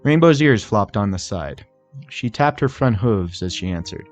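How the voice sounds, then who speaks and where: A man's voice: fairly low pitch, animated, moderate pace. Man narrating a story over music. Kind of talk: scripted narration of fan fiction.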